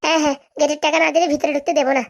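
A high-voiced, bossy village woman from a comic cartoon, played by a woman. She talks very quickly, scolding and scheming like someone set on getting her money. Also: fairly even intonation. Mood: bossy demanding. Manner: scolding, demanding, haggling.

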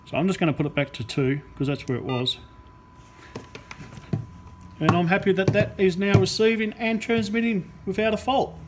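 Higher-voiced man demonstrating over loud noise. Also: moderate pace, long pauses. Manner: explanatory, talking while working. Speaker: radio repair technician presenter. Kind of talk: hands-on repair demonstration commentary. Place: indoor electronics repair workbench. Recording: noisy workshop recording with clicks. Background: workshop noise, clicks, test tones.

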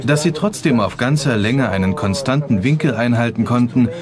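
A man's voice: mid-range voice, animated, normal speed, a crowd in the background. A man giving expert commentary in a documentary, explanatory and matter-of-fact. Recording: music bed under the voice. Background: background music.

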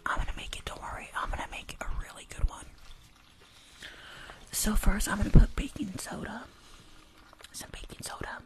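A woman's voice: mid-range voice, moderate pace, pauses often. A woman in her twenties doing ASMR roleplay close to the microphone, blunt and teasing, often repeating her phrases. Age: 20s. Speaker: ASMR performer. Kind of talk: whispered ASMR roleplay as a rude spa worker. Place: small room indoors at home. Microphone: close desktop condenser microphone.

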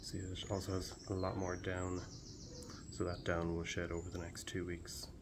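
Young Irish man, low register, calm field-guide delivery, shed ambience audible. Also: normal speed, noisy background. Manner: calm, explanatory. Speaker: barn owl ringer. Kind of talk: explaining fieldwork on camera. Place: open farm shed outdoors.

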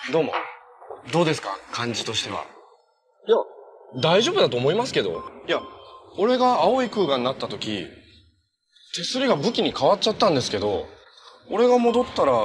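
An actor playing an easygoing, kind young male hero in a TV superhero drama, speaking in a friendly, earnest, casual way. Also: a little high. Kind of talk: naturalistic Japanese TV drama acting. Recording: TV drama audio with noticeable background noise.

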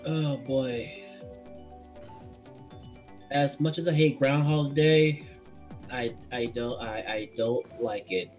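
A woman in her thirties with a low voice chats loosely with friends while streaming a racing game. She swears when she gets hit, and quiet game music runs behind her. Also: slow pace, long pauses. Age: 30s. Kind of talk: casual gaming chat with friends.

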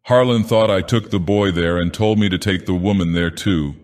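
Man acting out a drunken schooner captain, gruff, grumbling, fearful, resentful, low-pitched, storybook reading, long reverb. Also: normal speed, a little mellow, close to an everyday voice. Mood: uneasy confiding. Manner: grumbling, blurting, emphatic.

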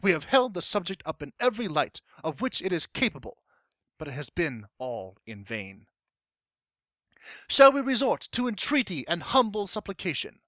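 A man's voice: high voice, big pitch swings, moderate pace, sharp tone, no room sound, full character voice. A man playing an impassioned colonial-era statesman, delivering a formal, emphatic dramatic monologue as a speech to an assembly. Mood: impassioned, grave.

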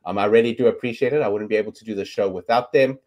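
A man's voice: on the low side, very fast, darkish tone. A South African man in his thirties, Afrikaans his first language, hosting a casual sports talk show from his bedroom over a video call, rambling with plenty of ums. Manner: chatty, rambling, lots of ums. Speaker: podcast host. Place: bedroom at home. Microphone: webcam on video call.

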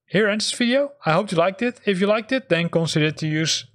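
A man's voice: high voice, lively intonation, brisk, fairly bright tone. A man hosting a how-to tutorial, explaining things step by step in an informal way. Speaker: host of a flight simulator tutorial channel. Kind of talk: spoken how-to tutorial over screen capture.

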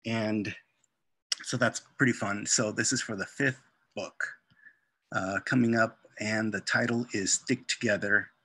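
A middle-aged man, an author and illustrator, casually presenting his artwork on a video call with an unhurried, halting delivery. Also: lowish voice, steady tone, bright, forceful tone, breathy edge, no echo. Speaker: children's book author and illustrator. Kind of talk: informal slide presentation of artwork.

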